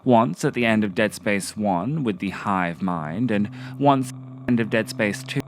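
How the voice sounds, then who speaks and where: A man's voice: lowish voice, animated, a little slow. A man narrating a scripted video essay in a contemplative, literary manner with theatrical phrasing. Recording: music behind the voice. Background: music.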